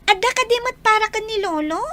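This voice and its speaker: An actress plays a young Ilocano daughter in an audio drama. Her voice is very high, and she chats affectionately, like family at home. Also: lively intonation, fast talker, bright, forceful tone, heavily acted. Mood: bright, affectionate.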